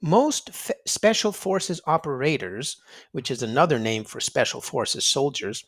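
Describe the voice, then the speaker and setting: A man's voice: mid-range voice, sing-song, measured pace, fairly bright tone. A man reading a children's nonfiction storybook aloud, explaining emphatically to young listeners. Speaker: children's read-aloud narrator.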